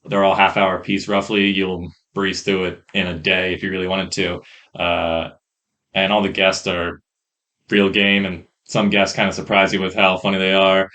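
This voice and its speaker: A man co-hosting a podcast, chatting casually in a rambling, hesitant way about what he has watched, on a rough microphone. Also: deep voice, bright, forceful tone. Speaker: podcast co-host reviewing films and shows. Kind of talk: casual chat. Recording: rough podcast mic audio.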